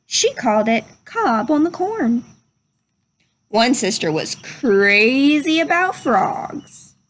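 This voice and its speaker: A woman's voice: high voice, sing-song, slow, a little hiss or hum. A young adult woman reading and talking to children as a storyteller, in a simple, instructive way. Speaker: read-aloud storyteller for children. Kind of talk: children's picture book read-aloud and chat to young viewers. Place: outdoors under a covered wooden pavilion.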